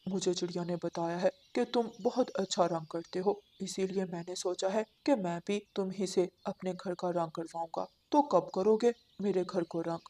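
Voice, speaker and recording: A woman's voice: fairly low pitch, fairly even intonation, moderate pace, few pauses, dark tone, close to an everyday voice. A woman voicing a friendly, courteous male pigeon in a children's cartoon, speaking earnestly. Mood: eager. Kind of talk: Hindi children's cartoon dubbing.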